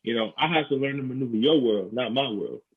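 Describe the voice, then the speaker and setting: A man's voice: mid-range voice, animated, quick pace, breathy edge. Young new york guy hosting, earnest, lotta "you know", sounds like a phone call. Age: young adult. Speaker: podcast host. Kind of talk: podcast conversation. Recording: tinny phone-like line.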